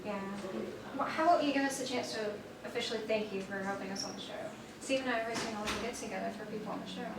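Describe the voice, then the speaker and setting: An actress playing a poised, wryly teasing and reassuring star's wife in a stage play. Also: female voice, fairly high pitch, rapid-fire, lightly acted. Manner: wry, reassuring. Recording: distant, echoey, noisy stage recording.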